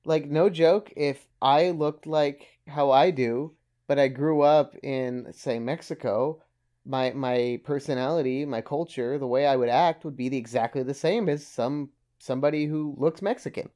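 Casual male co-host, mid-pitched, rambly and loose, drops the odd curse. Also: moderate pace, warm tone. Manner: rambling, restarts often, swears casually. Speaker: podcast co-host. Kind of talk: casual chat.

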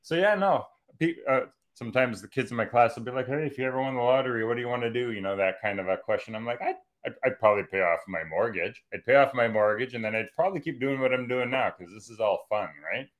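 A man's voice: medium pitch, fast, hardly any pauses. A man in his forties from western Canada, talking casually as a podcast guest, rambling and correcting himself with jokey asides. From Calgary, Alberta, Canada. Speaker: guest, professor and writer. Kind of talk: casual conversational interview.

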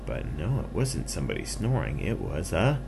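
A man's voice: deep voice, sing-song, normal speed, gravelly, heavy background noise. A man narrating an improvised bedtime story for children in simple, playful, repetitive phrases.

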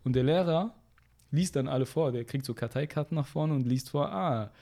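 A young man talks casually as a guest in a conversation. He tells stories in a lively way, full of slang fillers, and his voice climbs and grows loud when he is indignant. His voice sits at an ordinary middle pitch. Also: moderate pace, creaky. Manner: animated storytelling, rising loud when indignant.

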